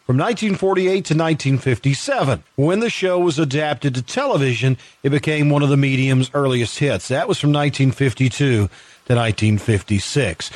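A man's voice: a little high, no room sound. An American man hosting a radio show, introducing it in a folksy announcer manner. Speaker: producer and host of a radio troupe's show.

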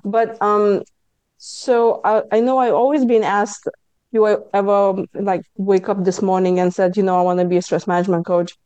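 A woman's voice: mid-range voice, moderate pace, clear, resonant tone, no room sound, poor audio. A woman with a Lithuanian accent, a stress management coach telling her own story in an earnest, candid way on a podcast. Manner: earnest and candid, breaks into lively self-quotes. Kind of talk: personal story in a podcast interview.

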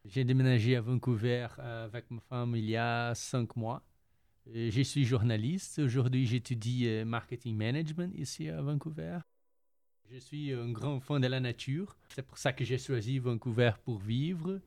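Gravelly man with a Brazilian accent. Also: mid-range voice, normal speed, a little mellow. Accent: Brazilian Portuguese. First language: Portuguese. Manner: hesitant, many fillers. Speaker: podcast columnist. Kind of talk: self-introduction in casual chat.

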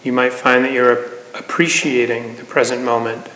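A man teaching a guided meditation in an unhurried way, with long pauses between phrases. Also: medium pitch, level tone, sharp tone. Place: meditation hall. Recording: echoey hall, noticeable reverb, faint noise.

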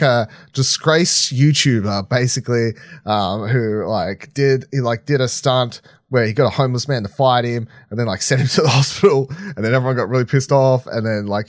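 A man's voice: lowish voice, no room sound, clean studio sound. A man co-hosting a podcast, giving a casual, rambling review full of filler phrases like "sort of". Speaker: podcast co-host reviewing films.

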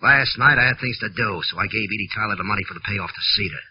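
An actor playing a slick, cornered gambler, urgent, defensive and streetwise, in a 1940s radio crime drama. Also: male voice, on the low side, quick pace, natural voice. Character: cornered, slick male gambler explaining himself. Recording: muffled old radio recording, faint noise.

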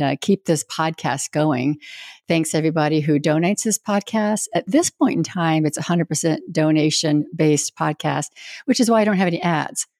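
A woman's voice: sits low, darkish tone, no room sound, clean studio sound. A woman who is a reverend, hosting a spiritual podcast and interviewing a guest in an earnest, conversational way. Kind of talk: scripted intro then casual interview. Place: home in Wisconsin, USA.

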